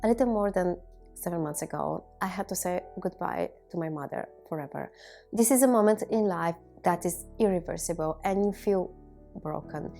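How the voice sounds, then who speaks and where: Creaky-voiced woman in her thirties from Bulgaria. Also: lowish voice, mellow. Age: 30s. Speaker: video blogger. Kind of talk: reflective personal monologue to viewers.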